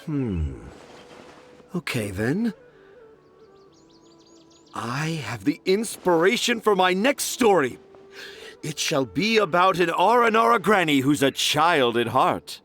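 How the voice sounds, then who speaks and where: A pompous flowery storyteller. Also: male voice, fairly high pitch, big pitch swings, slow pace, long pauses, a bit bright, faint music behind, heavily acted. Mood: grandiose. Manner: grandiose, flowery speech. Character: pompous, dreamy male children's author. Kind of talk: video game dialogue.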